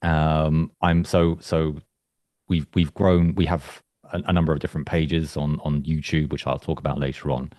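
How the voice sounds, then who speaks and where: Very deep-voiced man presenting. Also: flat delivery, normal speed, darkish tone, no echo, clean studio sound. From England. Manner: chatty, self-deprecating, frequent restarts. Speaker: invited speaker, studio founder. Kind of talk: presentation to an online club.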